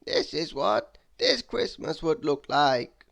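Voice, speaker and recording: A woman voicing a mysterious wizard in a storybook, slow and solemn. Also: sits low, wildly swooping pitch, gravelly, exaggerated voice.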